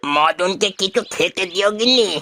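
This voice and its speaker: A man's voice: sits high, expressive, moderate pace, sharp tone, a little hiss or hum. A man voicing a kindly, generous older village uncle in cartoon dialogue. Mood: kindly, unhurried.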